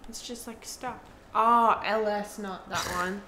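A woman's voice: medium pitch, fairly even intonation, a little slow, slight background noise. A young woman casually reacting as she plays a video game beside a co-host. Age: young adult. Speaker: gaming co-host playing the game. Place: indoor setup, seated beside co-host. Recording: roomy, loud game audio underneath.

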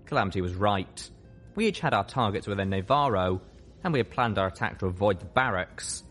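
A man's voice: lowish voice, lively intonation, a little fast, a bit bright, faint music behind. A man reading a scripted first-person story aloud as an audiobook narrator. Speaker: audiobook reader.

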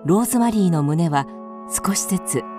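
A woman's voice: on the low side, animated, moderate pace, warm tone, faint music behind. A woman narrating a radio drama from a script as its storyteller.

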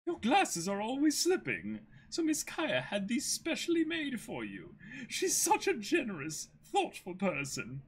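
A man's voice: high-pitched, huge pitch swings, unhurried, breathy edge, voice pushed up, heavily acted. A man voicing a cheerful, polite household servant in a bubbly, admiring way for a manga reading.

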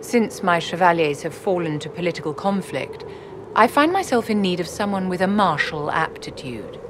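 An actress playing an elegant, secretive court enchantress in a fantasy game, with a haughty, polished, formal manner. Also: female voice, on the low side, sing-song, normal speed, background noise. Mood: formal, gracious. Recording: clearly audible background ambience.

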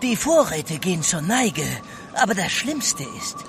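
An actor playing a sly, defensive sailor turned mutineer in an audio drama, insinuating in manner. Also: male voice, high voice, sing-song, unhurried, noisy background. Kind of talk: German radio play acting.

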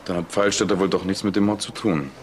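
A man's voice: low voice, fairly even intonation, quick pace, slight background noise, lightly acted. An actor playing a plain-spoken male police detective in a naturalistic crime audio drama. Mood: doubtful. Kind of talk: naturalistic German radio drama acting.

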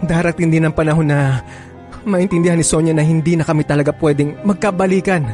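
A man's voice: a little high, fairly even intonation, fast talker, a little mellow, soft background music, natural voice. Man acting defensive, pleading married lover. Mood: soothing, earnest. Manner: pleading, placating, emotional. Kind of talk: Filipino radio drama acting.

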